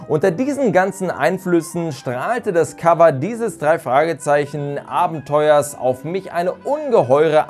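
A man, narrating a scripted review in measured, carefully worded storytelling as a channel host. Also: fairly high pitch, a little mellow. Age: 30s. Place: small furnished room, indoors. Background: background music.

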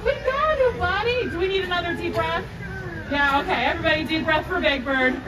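A distant, echoey phone recording in a noisy outdoor crowd. A young woman hosts story time in a very high voice with lively swoops, coaxing small children along. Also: a little fast. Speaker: theme park story time host. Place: outdoor theme park stage. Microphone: audience phone camera from several meters.

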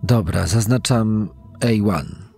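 A man's voice: lowish voice, slow, gravelly, darkish tone. A man playing a hesitant, chuckling quiz contestant in a true-crime podcast reenactment, thinking aloud as he bluffs. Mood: hesitant, musing. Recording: music under the voice.

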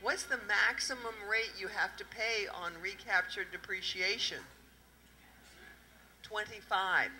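A middle-aged woman with a Southern accent talks taxes to a live audience, her voice very bright and sharp. She keeps asking the room questions and plays off the answers. She holds a handheld microphone in an indoor event room. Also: medium pitch, a little slow, low-quality recording. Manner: lively, quizzing the audience with questions. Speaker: tax accountant presenting at a panel. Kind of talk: interactive talk to a live audience.

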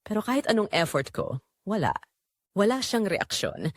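A woman performs a neglected wife in her forties, wistful and conflicted. Her pitch moves in lively swings as she confides in short dramatic phrases. The recording is dry and close, in the style of an audio drama. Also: normal speed, dry recording. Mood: weary, wistful. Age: 40s. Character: Rosa, lonely neglected forty-three-year-old wife, wistful and conflicted. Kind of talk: first-person dramatic audio story narration.

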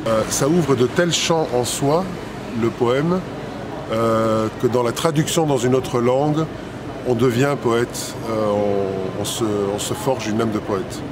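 A man's voice: medium pitch, slow. A man in his fifties, a poet and publisher, answering interview questions thoughtfully with many hesitations and restarts. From Italy and Belgium. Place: city square outdoors, traffic nearby. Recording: outdoor street noise.

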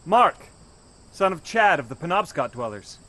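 An actor playing an earnest young river-dweller guide in an audio drama, speaking formally. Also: male voice, on the high side, very animated, normal speed, pushed and bright. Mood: earnest, pleading. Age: young adult.

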